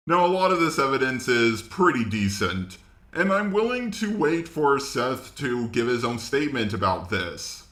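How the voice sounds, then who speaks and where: Male commentator, lively and bright delivery, blunt casual opinions, recorded in an echoey room. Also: mid-range voice, moderate pace. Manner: blunt, informal.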